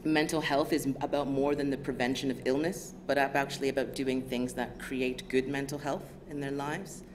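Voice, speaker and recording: An American woman with a smooth, low voice, giving an academic lecture in a measured, thoughtful way. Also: little pitch movement. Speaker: guest lecturer. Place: lecture theatre stage. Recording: lecture hall audio with background noise.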